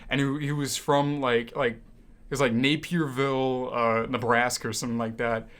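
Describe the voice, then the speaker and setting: A man's voice: medium pitch, level tone, moderate pace, a bit bright. A young American man co-hosting a podcast in a casual, conversational style. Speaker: podcast co-host. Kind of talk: casual chat.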